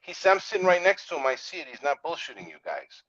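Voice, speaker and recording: Male trucking owner, notably high voice, frank and informal, slightly lo-fi recording. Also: very animated, fast, a bit bright. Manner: blunt, straight-talking, acts out quotes. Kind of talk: casual opinionated discussion.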